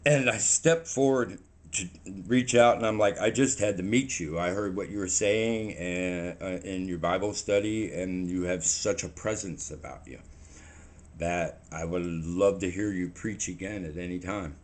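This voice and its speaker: A man, telling a story to the camera in a rambling, halting way and sounding a little shaky, recorded in a small room on a camera in front of him. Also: sits low. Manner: rambling, halting, animated hand gestures. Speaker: vlogger.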